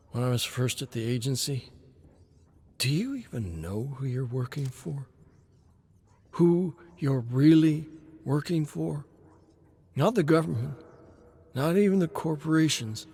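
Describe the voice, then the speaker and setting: A man voicing a battered, defiant old former agent in a story, with a strained, halting, scornful delivery. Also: mid-range voice, creaky, a little mellow, a little hiss or hum, voice pushed up. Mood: scornful, weary. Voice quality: strained, struggling with every word.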